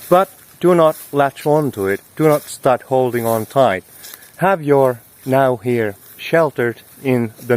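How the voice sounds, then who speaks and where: A man delivering a reflective spiritual monologue, with flowery, musing phrasing broken by slangy asides. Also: mid-range voice. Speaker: spiritual teacher hosting an audio series. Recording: noisy outdoor recording, wind and vehicle noise.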